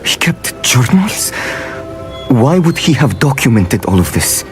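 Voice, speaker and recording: An actor playing a stern, incredulous old wizard guardian in a video game, with an imperious, questioning manner. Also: male voice, mid-range voice, sing-song, unhurried, faint music behind. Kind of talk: dramatic video game voice acting.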